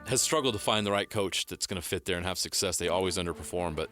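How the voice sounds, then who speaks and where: A man hosting a sports radio show, briskly reading headlines and teasing his co-host. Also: low-pitched, fairly bright tone, soft background music.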